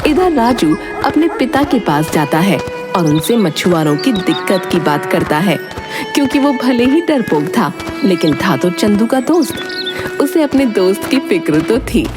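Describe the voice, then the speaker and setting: A woman narrating a scripted animated story. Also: mid-range voice, unhurried, over music.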